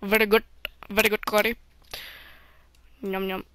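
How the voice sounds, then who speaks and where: A woman putting on an Indian accent, loud and upbeat while commenting on a game. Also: medium pitch, level tone, measured pace, smooth. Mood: cheerful. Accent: put-on Indian. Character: cheerful cook in a mock Indian accent.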